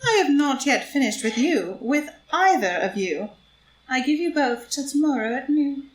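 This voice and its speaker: A woman's voice: high-pitched, lively intonation, normal speed. A woman playing a scheming, amused society blackmailer with arch, taunting theatrical delivery in a stage play reading. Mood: triumphant, taunting. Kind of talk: stage play read as audio drama. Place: indoor room, live group reading. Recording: roomy live group reading, faint noise.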